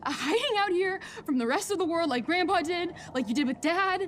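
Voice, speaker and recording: A woman's voice: very high voice, animated, a little fast, a little hiss or hum. A woman playing a bitter, frightened daughter in a sci-fi audio drama. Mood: bitter, resentful.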